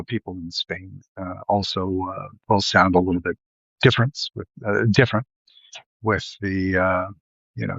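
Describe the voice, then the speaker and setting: Rambling Midwestern man, low voice. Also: creaky, fairly bright tone, dry recording, lo-fi sound. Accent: Midwestern American. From Ohio, USA. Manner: digressive, many fillers and restarts. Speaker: live video host. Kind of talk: rambling live commentary. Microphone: live stream mic.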